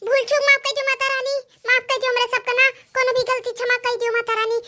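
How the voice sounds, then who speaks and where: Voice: very high voice, level tone, quick pace, pushed and bright, a little hiss or hum. A performer voicing a devout, anxious village woman in an Awadhi comedy cartoon, pleading reverently and repetitively with an Awadhi accent.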